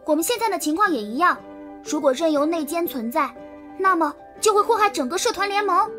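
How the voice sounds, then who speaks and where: A woman's voice: extremely high pitch, animated, brisk. A woman voicing a proud, clever schoolgirl who confidently explains things in a children's audio drama. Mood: explaining. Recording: children's music underneath.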